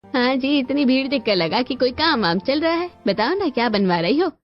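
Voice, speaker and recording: A woman voicing a nosy, gossiping neighbour in an animated family drama, full of exclamations and questions. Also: sits high, normal speed, highs cut off, soft background music, pitched above the performer's own voice. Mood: nosy, surprised. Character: nosy, gossiping neighbourhood women, surprised and curious.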